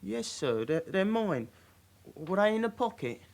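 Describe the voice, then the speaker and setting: A man's voice: high-pitched, big pitch swings, unhurried, gravelly, darkish tone, full character voice. A man playing a baby-faced young suspect in a radio drama, polite, meek and evasive in short defensive answers. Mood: nervous, evasive.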